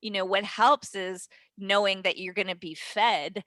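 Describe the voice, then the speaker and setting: Bright-voiced woman, frank and emphatic. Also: mid-range voice, fairly even intonation, unhurried, no room sound. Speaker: guest, voice actor and dialect teacher. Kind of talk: casual interview talk.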